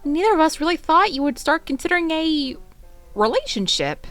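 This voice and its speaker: A woman voicing a motherly adult female cartoon pony who speaks gently and reassuringly. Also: high-pitched, sing-song, fairly quick, dry recording, faint music behind, pitched above the performer's own voice.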